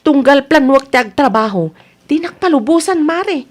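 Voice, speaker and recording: A woman playing an aggrieved, complaining Ilocano wife and mother in a radio comedy drama, with an Ilocano Filipino accent and an exasperated, expressive manner. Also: high voice, normal speed.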